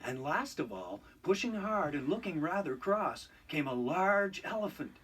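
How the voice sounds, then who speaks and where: A man narrating a children's read-along storybook in an expressive, scripted storytelling style. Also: on the high side, unhurried, slightly rough, far from the mic.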